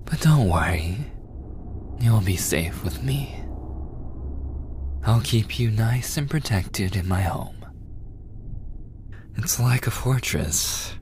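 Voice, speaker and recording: A man playing an obsessive, possessive, blood-starved male vampire in an intimate audio roleplay, breathy and often dropping to a whisper. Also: lowish voice, expressive, darkish tone, a little hiss or hum. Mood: possessive, coaxing. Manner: slow, intimate, gloating. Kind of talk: boyfriend ASMR audio roleplay.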